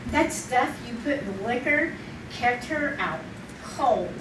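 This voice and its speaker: An actress playing a cold, ruthless, scheming wife in an old-time radio style crime drama. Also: female voice, a little high, animated, slow. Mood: cool, calculating. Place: live theater stage. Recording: distant echoey stage recording, clear noise.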